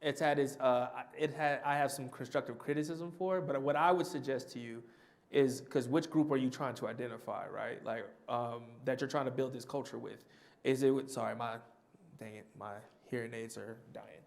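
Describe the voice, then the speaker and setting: Panelist, male, mid-pitch, off-the-cuff answer that restarts and circles back. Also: fairly even intonation, normal speed, gravelly. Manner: conversational, restarts and repeats himself. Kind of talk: answering audience question.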